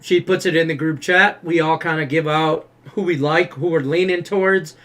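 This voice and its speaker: A man's voice: fairly high pitch, fairly even intonation, normal speed, a bit bright. A man co-hosting a casual sports betting talk show, joking and rambling. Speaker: co-host.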